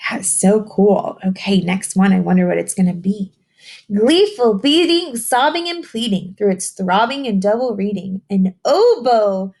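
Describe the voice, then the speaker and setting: A woman's voice: mid-range voice, lively intonation, unhurried, a little mellow. A woman reading a picture book aloud to young children like a teacher, encouraging them with simple questions and chatty explanations.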